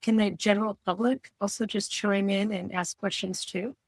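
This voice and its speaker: A woman's voice: mid-range voice, fairly even intonation, normal speed, no room sound. Female interviewer, mid-range voice, conversational questioning, close dry studio-like sound.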